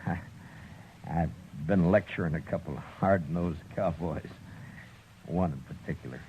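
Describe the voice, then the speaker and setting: An American actor playing a battered, gruff but loyal frontier lawman in a halting manner in a 1950s radio western. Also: deep voice. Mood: gruff, weary. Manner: halting, repeats words. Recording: muffled, noisy 1950s radio recording.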